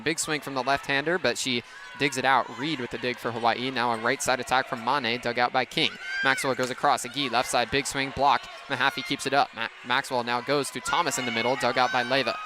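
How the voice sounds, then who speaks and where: A young man calling live sports on radio in clipped phrases that build into excited calls. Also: medium pitch. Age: young adult. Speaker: radio play-by-play sports announcer. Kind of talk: live radio play-by-play commentary. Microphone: headset. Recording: noisy gym with court sounds behind. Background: gym ambience, bouncing ball.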